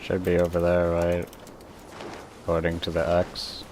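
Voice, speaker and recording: A man streaming a game with casual commentary, halting and searching for words in a self-mocking way. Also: sits low, completely flat delivery. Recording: clearly noisy, game sound behind.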